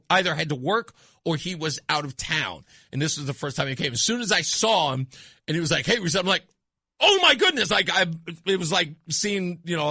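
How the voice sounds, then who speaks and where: Bright, excitable male radio host. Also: a little high, no room sound, studio quality. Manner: excitable, laughs often, sudden loud bursts. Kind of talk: casual on-air chat. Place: radio studio with cameras. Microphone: studio microphone.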